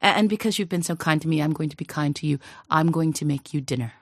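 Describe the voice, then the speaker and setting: A woman voicing a clever young peasant queen who speaks calmly, politely and slyly. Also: low-pitched, fast, husky, no room sound, clean studio sound. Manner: calm, sly, polite.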